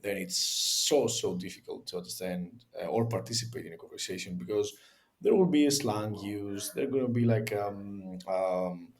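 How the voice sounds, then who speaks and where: A man's voice: lowish voice, normal speed. A man talking casually as a podcast co-host, hesitant and searching for words. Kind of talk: casual chat.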